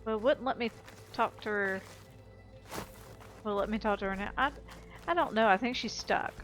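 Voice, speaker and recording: A woman's voice: medium pitch, moderate pace, fairly bright tone, soft background music. A woman giving casual gameplay commentary as a let's play host, chatting and thinking aloud as she goes.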